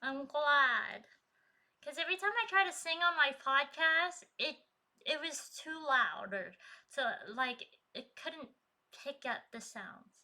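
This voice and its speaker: Woman streamer, high-pitched, unhurried, chatty with frequent laughs, trained voice that can project. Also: expressive, bright, forceful tone. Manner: chatty, laughs often, reads chat aloud. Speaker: live streamer and poet. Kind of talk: casual live-stream chat with viewers. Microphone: streaming desk mic.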